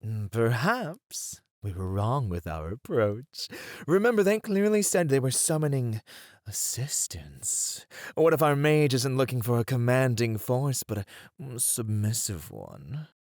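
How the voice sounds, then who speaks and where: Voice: medium pitch, big pitch swings, a little mellow, no room sound, studio quality. An actor voicing a suave, dominant demon who flirts and teases in an ASMR roleplay. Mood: sly, seductive. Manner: slow, purring, teasing delivery.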